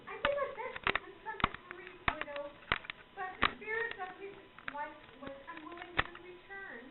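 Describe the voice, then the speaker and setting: A woman's voice: extremely high pitch, lively intonation, moderate pace. A woman playing a confident, provocative young spirit medium in a radio play, speaking in a solemn, theatrical manner. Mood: weary, gracious. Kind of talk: amateur radio play acting. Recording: echoey room, far off, tinny, very noisy.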